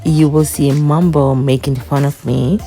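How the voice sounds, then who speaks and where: Low, mellow-voiced woman narrating. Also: steady tone, a little slow, faint music behind. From Jamaica. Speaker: vlogger. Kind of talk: voice-over narration.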